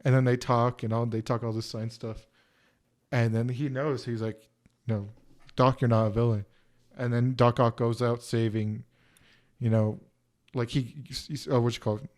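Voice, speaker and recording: A man's voice: mid-range voice, flat delivery, moderate pace, a little mellow. Rambling young man in his twenties. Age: 20s. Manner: rambling, lots of "like" and "you know" fillers. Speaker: podcast co-host. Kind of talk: casual film review chat.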